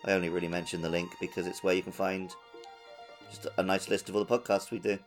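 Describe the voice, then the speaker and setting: A man's voice: low voice, level tone, quick pace, faint music behind. A man co-hosting a gaming podcast, chatting casually in a playful, jokey, self-deprecating way. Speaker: podcast co-host.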